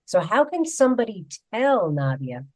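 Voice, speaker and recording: A woman's voice: medium pitch, sing-song, moderate pace, a little mellow, no echo. A woman in her fifties hosts a radio talk show with emphatic enthusiasm. Her voice is recorded close and dry, with no room sound around it. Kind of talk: radio interview hosting.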